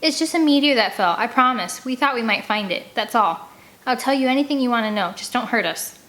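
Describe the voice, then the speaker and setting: Woman playing a high, frightened, defiant young girl. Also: animated, fairly quick, fairly bright tone. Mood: pleading. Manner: pleading, shrill when desperate.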